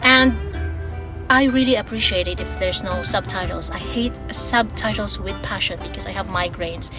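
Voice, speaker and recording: A woman's voice: medium pitch, moderate pace. A woman from the Philippines, speaking English with a Filipino accent touched with Australian, talks casually in a vlog, laughing often and drawing out her words. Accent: Filipino, with some Australian. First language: Ilonggo. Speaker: vlogger. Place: home in Australia. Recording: music playing behind.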